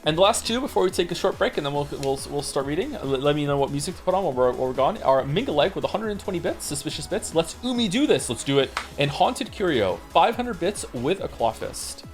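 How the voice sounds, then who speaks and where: A man on a livestream runs through viewer messages at a quick clip, his voice rising and falling a lot. He tosses in wry comments between them. Quiet music plays in the background. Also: a little high. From Wales. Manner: animated, reads messages aloud quickly with wry asides. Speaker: streamer reading chat messages. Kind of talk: casual livestream chat.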